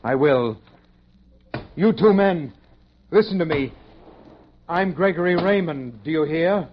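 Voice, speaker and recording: A man's voice: a little high, lively intonation, slow, a little hiss or hum. An actor playing a guilt-ridden, pleading man who believes himself a dead soul, in melodramatic old radio style. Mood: desperate pleading. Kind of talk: 1950s radio drama acting, narrating and in dialogue. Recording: muffled vintage radio recording, music behind.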